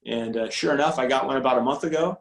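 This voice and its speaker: A man in his forties, a high school football coach, talking casually on a video call, rambling with many restarts and fillers. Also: fast, gravelly, noisy background. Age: 40s. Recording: roomy echo on video call audio.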